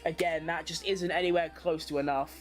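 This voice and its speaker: A woman's voice: low-pitched, steady tone, a little fast, faint music behind. A woman hosting a watchalong, giving casual live motorsport commentary in an informal, slangy way, as if thinking aloud. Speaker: livestream watchalong host.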